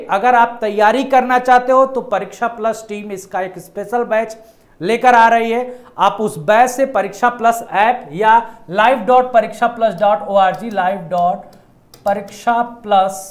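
A man's voice: very high-pitched, fairly bright tone. A man in his thirties, an exam coaching teacher, explaining a job notice to students in a casual way, often repeating key points. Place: indoor studio in front of a projected screen.

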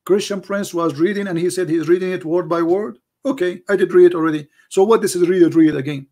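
A man's voice: sits high, fast, mellow. A man whose first language is Arabic, arguing emphatically in a call-in debate, repeating himself, with non-native grammar. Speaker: debate show host.

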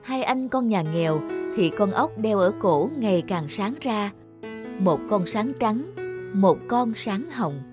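A woman's voice: mid-range voice, lively intonation, slow pace. A woman telling a scripted children's fairy tale as a storyteller, with music playing behind her.

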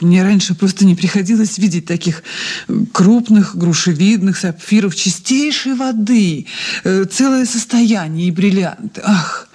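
A woman's voice: mid-range voice, mellow, breathy edge, close to an everyday voice. An actress playing a refined, evasive society lady under questioning in a Soviet radio play, with genteel, sighing, hesitant speech.

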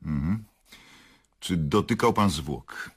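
An actor in a radio play playing a persistent, curt prosecutor with an official manner. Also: male voice, low-pitched, animated, very slow, husky, full character voice. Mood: probing, official. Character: brisk, persistent male prosecutor questioning witnesses, dry and official. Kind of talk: radio drama acting.

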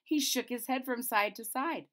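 A woman's voice: on the high side, moderate pace, a bit bright. A middle-aged woman reading a children's story aloud with dramatic, emphatic expression. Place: small room indoors.

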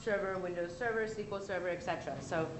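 An American woman in her thirties leading a live tech tutorial, chatty with quick asides and self-corrections. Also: on the low side. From United States. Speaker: tech workshop presenter. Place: large conference room. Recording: echoey conference room with background noise.

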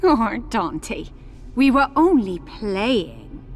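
An actress playing a seductive, teasing succubus demon in a video game, with sultry, playful delivery. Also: female voice, on the high side, sing-song, extremely slow, soft background music, heavily acted.

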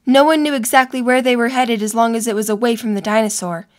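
Slightly high-voiced woman narrator. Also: steady tone, quick pace. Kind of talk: scripted story narration.

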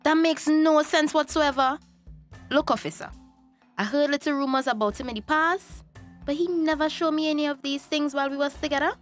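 A woman's voice: high-pitched, brisk, soft background music, lightly acted. An actress with a Tobagonian accent playing a defiant, exasperated young woman in a radio drama. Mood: indignant. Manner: sassy, indignant.

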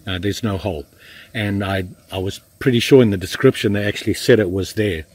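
An older man in his sixties with a husky, fairly low voice, casually talking through a knife test while he kneels in the woods. He rambles easily, restarting sentences and filling pauses, recorded by a camera a few feet away. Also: no room sound. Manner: relaxed, chatty, with frequent fillers and restarts. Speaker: outdoor knife reviewer. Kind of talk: casual hands-on review. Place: outdoors in woods, kneeling in the undergrowth.

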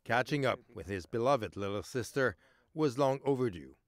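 A male reporter narrating a news feature in a measured, scripted voice-over. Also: medium pitch, dry recording. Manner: measured, even storytelling delivery. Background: music bed.

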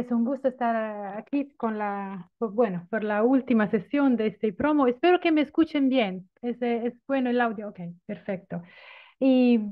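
A middle-aged woman with an Italian accent, Italian her native language, speaking at an online event, lively and informal, searching for words. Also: fairly high pitch. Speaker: invited speaker. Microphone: video call. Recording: tinny phone-like audio.

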